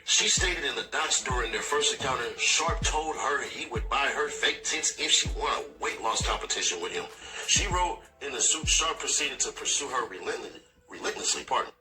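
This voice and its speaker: Animated man reading news over music. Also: mid-range voice, moderate pace. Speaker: commentator reading news articles aloud. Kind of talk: reading a news article aloud. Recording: distant video playback over music.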